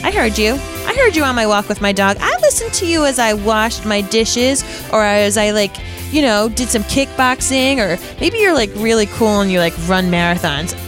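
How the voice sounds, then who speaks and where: A woman's voice: on the high side, animated, normal speed, few pauses, over music. A woman with a Rhode Island accent hosting a podcast from her basement, chatty, bubbly and self-deprecating. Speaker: podcast host. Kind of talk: casual podcast chat. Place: home basement. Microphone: studio microphone.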